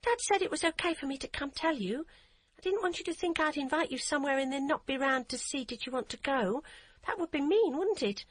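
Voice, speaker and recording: A woman's voice: high-pitched, expressive, a little fast, dry recording, pitched above the performer's own voice. A woman voicing an earnest, anxious eight-year-old girl who talks in an eager rush. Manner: eager, breathless rush of words.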